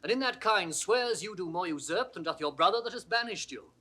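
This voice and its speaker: An eloquent lord of a duke's court. The voice is fairly high and very bright, spinning florid theatrical verse in classical Shakespearean audio drama manner. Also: male voice, expressive, fairly quick, breathy edge, heavily acted. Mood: vivid, mock-mournful. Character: courtly lord attending exiled duke, eloquent storyteller.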